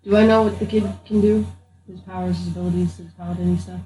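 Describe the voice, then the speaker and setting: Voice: medium pitch, flat delivery, fast, dark tone, slight background noise, low-quality recording. A player in a tabletop roleplaying game, chatting casually and improvising what their character does.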